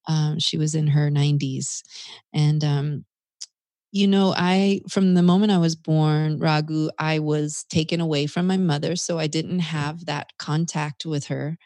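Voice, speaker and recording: A woman with a notably low voice talks in a reflective, conversational way, sharing personal stories as a guest. She is from the United States, and the sound is very dry, with no room around it. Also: fairly even intonation, measured pace, mellow, dry recording. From United States, South Carolina. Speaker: interview guest.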